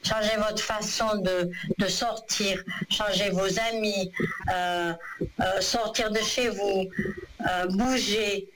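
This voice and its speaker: A man's voice: high voice, steady tone, slow pace, background noise. A man reading a caller's future on a phone-in show, reassuring and full of hesitant fillers. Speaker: clairvoyant phone-in host.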